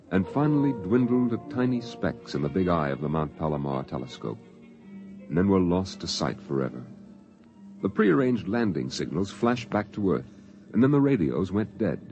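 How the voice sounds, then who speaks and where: Very deep, solemn male radio narrator. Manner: dramatic, solemn. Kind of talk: scripted 1950s radio drama narration. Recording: dull vintage radio recording.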